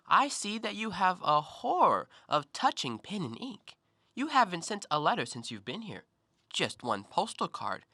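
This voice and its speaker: An actor playing a blunt, self-assured, observant intellectual in an audio play, speaking in an emphatic, accusing way. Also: male voice, high-pitched, bright, forceful tone, no room sound, clean studio sound, exaggerated voice. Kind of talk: stage-play dialogue in an audio drama.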